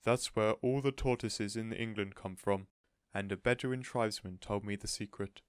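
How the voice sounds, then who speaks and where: A man playing a shy, lovestruck gentleman who speaks politely and earnestly in a storybook reading. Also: on the low side, fairly even intonation, moderate pace, smooth, no echo, studio quality, close to an everyday voice. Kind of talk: storybook character voice in audiobook reading.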